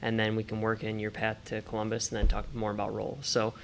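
A male interviewer asks his guest about daily routines and background. He talks fast in an even, flat tone, piling up options inside each question. A faint low rumble sits behind the voice. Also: vocal fry. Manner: quick, rambling multi-part questions. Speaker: interview host.

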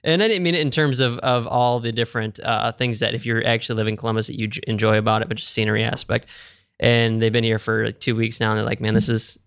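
A podcast co-host tells rambling, joking stories. His voice has a strong gravelly edge but stays smooth and resonant, and the sound is dry and close. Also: mid-range voice, little pitch movement, fast, fairly bright tone, no echo. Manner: rambling anecdotes, jokey. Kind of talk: casual chat.

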